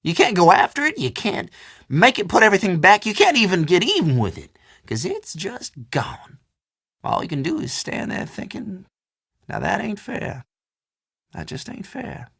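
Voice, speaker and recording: An actor playing a folksy old storekeeper in a video game, chatty and teasing, with a drawl. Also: male voice, high voice, huge pitch swings, normal speed, gravelly, fairly bright tone, slightly rough, no echo, clean studio sound, heavily acted. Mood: grave, bitter. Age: older. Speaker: small-town general store keeper. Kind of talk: video game character dialogue.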